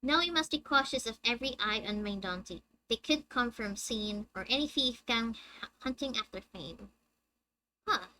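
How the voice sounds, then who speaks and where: A young woman with a high-pitched, squeaky voice, chatting with her viewers on a live game stream and reading the story text aloud. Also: expressive, normal speed, bright, forceful tone, poor audio. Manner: chatty, reads dialogue aloud and answers chat.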